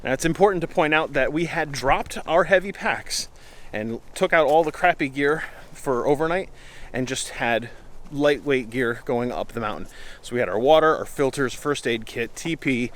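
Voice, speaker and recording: A man telling an unscripted story in a casual, conversational way, with frequent pauses and slangy asides. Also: medium pitch, animated, a bit bright. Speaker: outdoor vlogger telling a story. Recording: clear ambient background noise.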